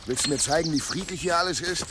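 Actor as a gruff, bitter medieval husband. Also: male voice, a little high, fast, background noise, lightly acted. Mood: troubled, impatient. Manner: gruff, sardonic. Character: bitter, brooding, hard-drinking medieval husband, male. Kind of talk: German radio drama acting.

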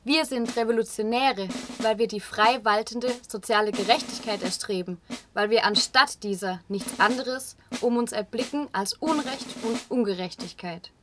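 A woman voices a resolute nineteenth-century revolutionary in a homemade radio collage. The delivery is formal and proclaiming, a little high in pitch, with clear drum music behind it. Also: moderate pace, lightly acted. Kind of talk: amateur radio collage, declamatory reading.

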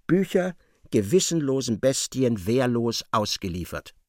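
Expressive male narrator, very dry sound. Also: mid-range voice, a little slow, no room sound. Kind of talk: scripted literary radio drama narration. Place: dry studio.

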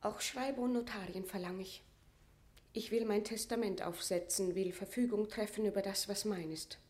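A woman's voice: medium pitch, steady tone, moderate pace, a little mellow, close to an everyday voice. An actress playing a proud, aggrieved imprisoned queen, dignified and imperious, with formal declamatory diction in a classical German verse radio drama.

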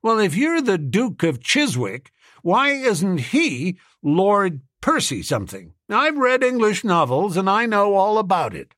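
Man putting on a brash, high-pitched American businessman. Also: huge pitch swings, measured pace, fairly bright tone, no echo, clean studio sound, full character voice. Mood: suspicious, insistent. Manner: brash, insistent. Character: stout, hard-headed, suspicious American businessman.

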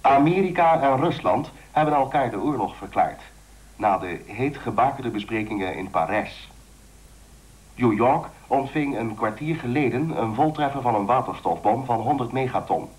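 A man acting a formal radio newsreader in a Dutch-language radio play, reading bulletins in a measured way. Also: medium pitch, animated, darkish tone, lightly acted, low-quality recording. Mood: grave, formal.

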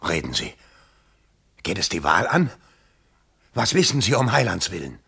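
An actor playing a pompous, agitated bourgeois election candidate in a theatrical German radio play, exclamatory and self-dramatizing. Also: male voice, sits low, very animated, normal speed, vocal fry, pushed and bright, breathy edge, heavily acted. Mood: impatient.

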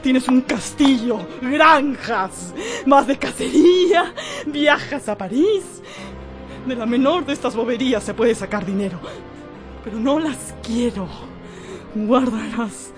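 A high-pitched, desperate and proud wife in a tragic radio drama. She speaks slowly and with theatrical passion, pleading and reproaching. Faint music plays behind her. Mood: scornful fury. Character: desperate, proud, tragic married woman in anguish. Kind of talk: Spanish-language radio drama acting.

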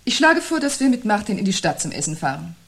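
Husky, dryly sceptical wife. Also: female voice, medium pitch, big pitch swings, quick pace, a bit bright, full character voice. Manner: dry, pointed, controlled. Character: sceptical, weary wife, dryly resisting her partner's gloom. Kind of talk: 1970s German radio play acting.

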